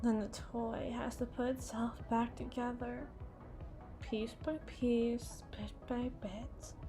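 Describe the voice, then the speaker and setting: Actress as tipsy female boss, high voice, unhurried drawl, haughty, flirtatious, melodramatic, ASMR roleplay. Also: flat delivery, warm tone, faint music behind. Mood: wistful, pleading. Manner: theatrical, drawn-out, playful wordplay, slipping into breathy whispers. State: acting tipsy.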